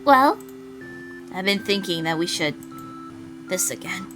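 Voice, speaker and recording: A woman voicing an eager, affectionate young girlfriend in a visual novel, with soft, emotive delivery. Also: fairly low pitch, steady tone, normal speed, over music, natural voice, rough recording. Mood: hopeful, tender.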